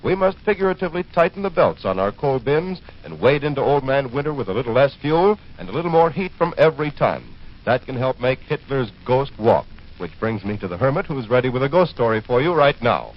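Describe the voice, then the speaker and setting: Male forties radio announcer, mid-pitched, formal, folksy sponsor pitch on a muffled old recording. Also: very animated, brisk.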